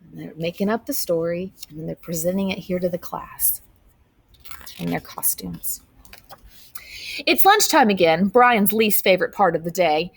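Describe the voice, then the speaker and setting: A woman's voice: fairly low pitch, normal speed, gravelly, pauses often, slightly rough, dry recording. A woman teacher reading a picture book aloud expressively, with asides to children.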